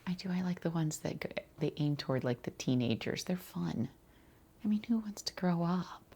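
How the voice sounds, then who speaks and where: A woman speaks quietly in an ASMR roleplay as a skincare consultant, her soft, breathy voice husky and full of creak. She rambles casually and reassures her client as she goes, with a mellow, slightly low tone that often drifts toward a whisper. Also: lively intonation, moderate pace. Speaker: ASMR creator playing a skincare boutique consultant.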